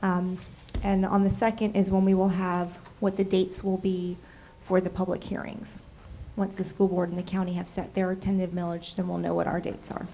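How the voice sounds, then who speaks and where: A woman with a cold, nasal and froggy, presenting a city budget to council in a measured way with frequent ums. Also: lowish voice, monotone, dark tone, a little hiss or hum, poor audio. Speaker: city deputy budget director. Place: council chamber.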